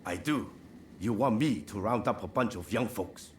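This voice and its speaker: A man's voice: lowish voice, moderate pace, slight background noise, natural voice. A man playing a frank, informal chief in a samurai video game, with a blunt way of talking. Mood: frank, agreeable. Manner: blunt, informal, plain-spoken. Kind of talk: video game cutscene acting.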